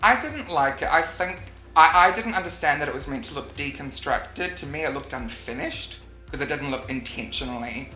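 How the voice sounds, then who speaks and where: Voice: medium pitch, normal speed, sharp tone, soft background music. A co-host in a casual review chat, enthusiastic and playful, explaining each verdict. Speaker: co-host of a drag show review. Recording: echoey room.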